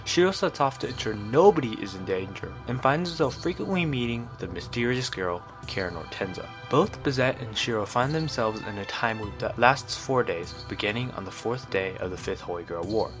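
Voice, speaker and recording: A man's voice: mid-range voice, lively intonation, fairly quick, hardly any pauses, low-quality recording. Male reviewer, mid-range voice, scripted narration for a video review, light music bed underneath.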